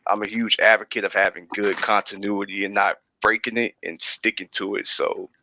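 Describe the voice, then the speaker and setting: Very deep, bright-toned man chatting casually. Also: level tone, moderate pace, no room sound. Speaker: interview guest, audio drama creator. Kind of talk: casual interview chat.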